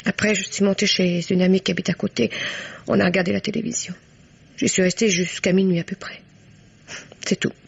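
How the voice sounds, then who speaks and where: Breathy, world-weary broke dancer. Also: female voice, medium pitch, fairly even intonation, brisk, pauses often. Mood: subdued, rueful. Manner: rueful, self-deprecating. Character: broke, world-weary female dancer, self-mocking and frank. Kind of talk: French radio mystery drama acting. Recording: dull old radio recording.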